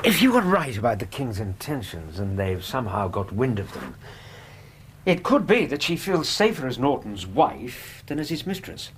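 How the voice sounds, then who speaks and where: Man acting questioning English companion, expressive voice. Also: mid-range voice, a little hiss or hum, natural voice. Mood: curious. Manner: measured, inquisitive. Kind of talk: radio drama acting.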